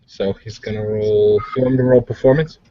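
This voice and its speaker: A man's voice: lowish voice, steady tone, a little fast, a little hiss or hum. A man playing a tabletop game, chatting casually and hesitantly as he thinks through his character's actions aloud. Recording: dull lo-fi voice recording.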